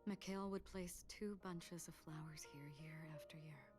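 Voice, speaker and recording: A woman playing a calm, solemn, gentle woman in a video game, speaking in a measured, hushed, wistful way. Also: low voice, close to an everyday voice. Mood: solemn, tender. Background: game music.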